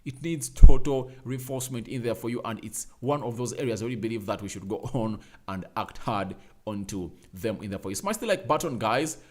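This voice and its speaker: Young male streamer host, mid-pitched, chatty and repetitive, punches lines up loud when urging likes. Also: animated, a little fast, few pauses. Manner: reads out viewer names and comments, repeats phrases, urges likes; emphatic, rising to loud calls. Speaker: fan channel host. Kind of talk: casual live-stream chat.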